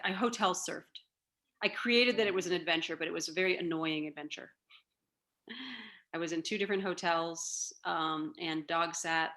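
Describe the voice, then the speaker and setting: A woman in her forties talks on a video call in a relaxed, rambling way, stringing thoughts together with ums and asides. Her voice sits fairly low for a woman yet sounds bright and forceful. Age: 40s. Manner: chatty, rambling, with many fillers. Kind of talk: casual video-call chat.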